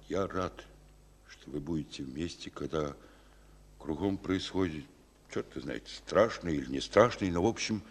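An actor playing a gruff but fatherly Soviet general in a war radio drama, speaking haltingly and with emotion. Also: sits low, in a large hall, full character voice.

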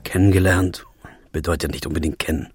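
A man's voice: deep voice, fairly even intonation, fairly quick, darkish tone, slight background noise, natural voice. An actor playing a blunt, grieving man in an audio drama, speaking in a laconic, detached way, with music playing underneath. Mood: evasive. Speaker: first-person narrator and lead character.

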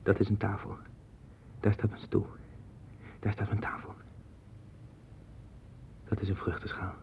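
A man's voice: on the low side, completely flat delivery, measured pace, creaky, darkish tone, in a whisper. An actor playing a restless, obsessive man in a 1960s Dutch radio play, with a Netherlands accent and a rambling, repetitive, insistent delivery. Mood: hushed, obsessive. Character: restless, obsessive man sinking into a strange inner world. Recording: tinny band-limited 1960s radio recording.

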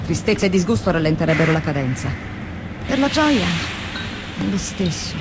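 An actress playing a weary, anguished factory press worker in a theatrical radio monologue, with deliberate, intense phrasing. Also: female voice, lowish voice, very animated, very noisy. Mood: grim, heavy. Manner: deliberate, intense, emphatic phrasing with dramatic pauses.

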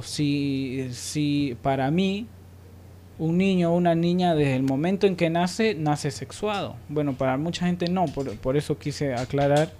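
A man's voice: fairly high pitch, darkish tone. A man hosting an unscripted radio panel, playful and probing, repeating words for emphasis. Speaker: radio talk show host.

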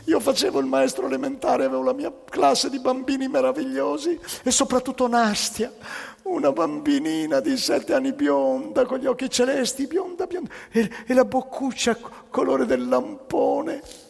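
Meek, distraught male schoolteacher acted by a man, innocent and stammering, high husky voice, long hall reverb. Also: normal speed, a little mellow, full character voice. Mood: plaintive. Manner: stammering, pleading, emotional.

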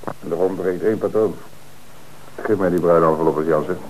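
A calm, commanding police commissioner. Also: male voice, low-pitched, a little fast, background noise. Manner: calm, authoritative, explanatory. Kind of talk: radio drama acting. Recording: dull old radio play recording.

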